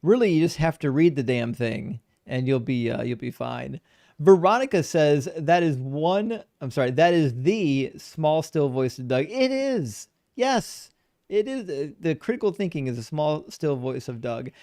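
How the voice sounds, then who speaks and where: A man hosting a live debate stream, reading out viewer messages in a relaxed, chatty way and laughing often. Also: fairly high pitch, a little slow, no room sound, clean studio sound. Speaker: debate show host. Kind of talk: casual live-stream chat, reading super chats.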